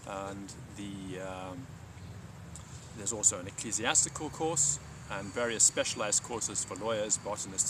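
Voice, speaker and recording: A man speaking as a Latin teacher, explaining and reading aloud in a measured, halting live delivery. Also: fairly low pitch, recorded from a distance, background noise. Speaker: Latin teacher and reader of his own lesson texts.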